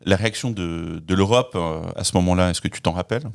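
A man hosts a podcast interview in a fairly low voice. He speaks in an articulate, measured way. He reads a prepared opening, then guides his guest with careful questions. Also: creaky, smooth. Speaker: podcast host. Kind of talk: interviewing, partly scripted intro.

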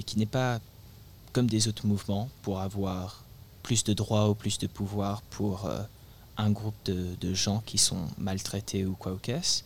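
A man's voice: on the low side, a little hiss or hum. An eighteen-year-old man, a climate activist guest in a radio interview, speaking earnestly with frequent hesitations. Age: teenager.

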